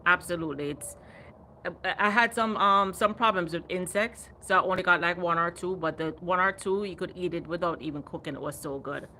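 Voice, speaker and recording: A woman's voice: fairly low pitch, brisk, pushed and bright, a little hiss or hum. A woman in her fifties hosting a casual gardening livestream, chatting animatedly and reading out viewer comments. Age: 50s. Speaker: gardening channel host.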